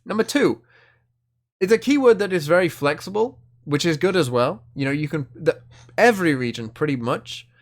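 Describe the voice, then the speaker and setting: A young man chats his way through a card review, hedging and thinking aloud as he goes. His voice sits a little high and swings widely in pitch. He sounds like a casual video host talking straight to his viewers. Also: expressive, normal speed. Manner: chatty, hedging, thinking aloud with many fillers.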